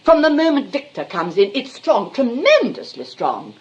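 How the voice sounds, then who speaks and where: A woman's voice: on the high side, very animated, normal speed, lo-fi sound. A woman playing a vain, self-dramatising retired actress and mother in a stage comedy, with a British accent and a grand, histrionic manner. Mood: defensive, proud. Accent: British English. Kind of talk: theatrical stage comedy acting.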